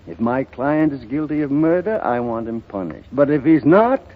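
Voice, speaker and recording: An actor playing a shrewd, folksy defense lawyer in a 1930s radio drama, speaking in oratorical phrases with dramatic pauses. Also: male voice, medium pitch, phone-quality sound. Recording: thin band-limited 1930s radio recording.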